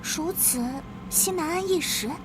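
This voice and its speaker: A high-pitched, willful young noblewoman, noisy track. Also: lively intonation, unhurried. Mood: pleading. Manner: willful, clingy and affectionate. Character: spirited young noblewoman, devoted to her brother. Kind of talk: animated drama dub. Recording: background noise.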